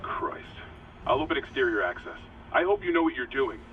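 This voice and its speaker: A man playing a gruff, frustrated starship commander in a sci-fi game. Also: medium pitch, big pitch swings, fairly quick, phone-quality sound, close to an everyday voice. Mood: skeptical, resigned. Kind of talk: dramatic sci-fi game acting. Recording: distant, music underneath.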